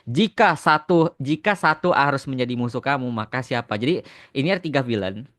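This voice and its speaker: A man's voice: mid-range voice, animated, brisk, fairly bright tone, no room sound, studio quality. An Indonesian man hosting a casual podcast chat, dropping English phrases into his speech. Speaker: podcast host and content creator.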